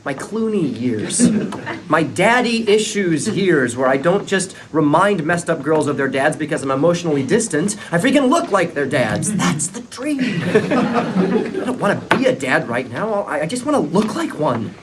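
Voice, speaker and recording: A man's voice: fairly high pitch, big pitch swings, moderate pace, noisy background. An actor playing a vain, womanizing bachelor at a sitcom table read, self-mocking and dramatic. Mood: mock despair. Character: vain womanizing bachelor man, fearing middle age.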